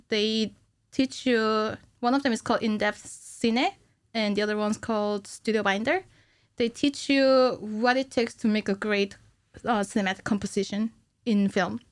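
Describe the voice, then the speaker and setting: A woman in her thirties explaining things informally and haltingly as a guest in a casual studio interview. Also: fairly high pitch, steady tone, smooth. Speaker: podcast guest, cinematic animator. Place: small podcast studio indoors. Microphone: studio microphone on stand, with headphones.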